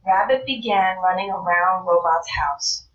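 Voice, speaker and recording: A woman reading a children's story aloud in a deliberate, expressive narrating style. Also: lowish voice, lo-fi sound. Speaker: storybook reader. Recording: roomy, distant mic, faint noise.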